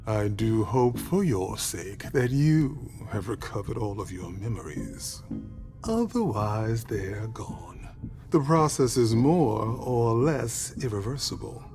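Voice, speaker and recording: Male vampire faction boss: guarded, formal, unhurried, very animated intonation, game drama. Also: lowish voice, exaggerated voice. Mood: cool, formal. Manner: formal, deliberate, theatrical phrasing. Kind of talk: dramatic video game cutscene acting. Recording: music behind the voice.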